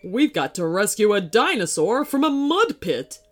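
A brave, easygoing father, as a woman narrator puts him on. He talks slowly and reassuringly, with lively, encouraging turns suited to a storybook adventure. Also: mid-range voice, faint music behind.

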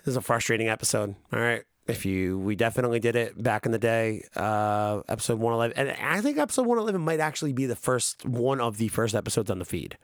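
A man's voice: medium pitch, very animated, quick pace, few pauses, no room sound, studio quality. A man talking casually on a podcast, rambling and cursing, with many fillers and restarts. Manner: rambling, profane, frequent fillers. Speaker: podcast co-host.

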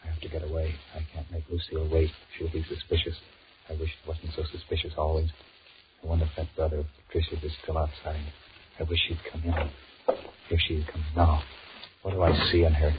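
Irritable cheating husband, American accent, bass voice, halting sweet talk, 1940s radio drama, muffled dull sound. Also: male voice, completely flat delivery, background noise. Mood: impatient, brooding. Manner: muttered private thoughts alternating with halting, stammered sweet talk. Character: cheating husband, cowardly, irritable, trapped between wife and mistress. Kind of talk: 1940s radio horror acting with inner monologue.

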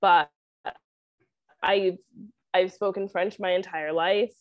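A woman's voice: on the low side, steady tone, takes it slowly, no room sound, dull audio. A young woman from Canada with a Canadian accent, talking casually as an interview guest, saying "like" constantly and laughing often. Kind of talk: casual chat.

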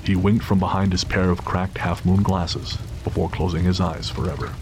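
Deep-voiced man narrating dramatically. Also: level tone, moderate pace. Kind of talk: dramatic scripted story narration. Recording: clear background noise and ambience.

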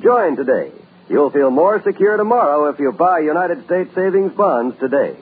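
A man announcing a vintage radio show and reading a savings-bond appeal in an emphatic, drawn-out way. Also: fairly high pitch, expressive, heavy background noise. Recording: tinny old radio broadcast with music.

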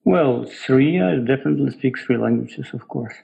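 A middle-aged man with an Eastern European accent and a gravelly, creaky voice answers as an interview guest. He explains things in a halting, reflective way. The sound is a little dull and lo-fi. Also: medium pitch, mellow. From Ukraine. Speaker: guest, software developer.

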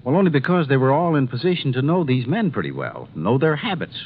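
A man playing a troubled, formal company president in a 1950s radio drama, speaking deliberately and emphatically. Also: medium pitch, big pitch swings, close to an everyday voice. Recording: dull, faintly noisy 1950s radio recording.

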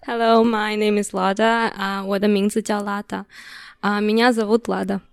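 A woman's voice: medium pitch, flat delivery, moderate pace, creaky, clear, resonant tone, no room sound. A woman whose native language is Russian, a podcast guest talking in English in a chatty, slightly nervous way. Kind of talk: casual panel talk.

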